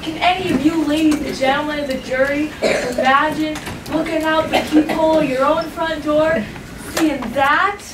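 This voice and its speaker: High, declaiming fairytale pig played by a woman. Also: steady tone, normal speed, background noise, natural voice. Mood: dramatic appeal. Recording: echoey stage from far off, music.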